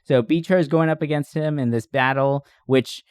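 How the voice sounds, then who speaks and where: A young adult man hosting a podcast, recapping movies in a casual, comedic way with animated storytelling, swearing and slangy asides. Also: medium pitch, moderate pace, clean tone, no room sound, studio quality. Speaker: podcast host.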